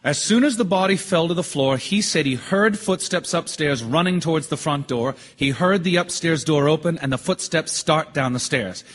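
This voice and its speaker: An actor playing a calm, methodical juror in a stage radio drama, measured and quietly insistent as he explains. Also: fairly high pitch.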